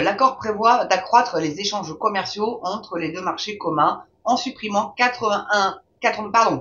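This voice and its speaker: A woman's voice: medium pitch, fairly bright tone. A woman hosting a video, reading a news article aloud and breaking off with mocking, informal asides and frequent hesitations. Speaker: video host. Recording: dull lo-fi with faint noise.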